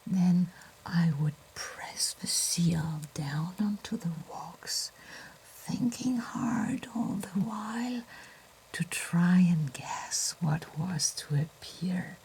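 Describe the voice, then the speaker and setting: A woman playing a gentle, nostalgic bookshop keeper in a breathy ASMR roleplay whisper, with genteel, deliberate phrasing. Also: on the low side, expressive, a little hiss or hum, exaggerated voice, poor audio. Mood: fond nostalgia.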